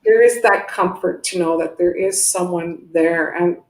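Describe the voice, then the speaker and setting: Low-pitched woman, encouraging, in a roomy space. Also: a little slow. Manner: encouraging, reassuring. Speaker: guest intuitive medium giving a reading. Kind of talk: spiritual reading and advice. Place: indoor room, video call. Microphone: video call microphone. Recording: roomy echoing video call.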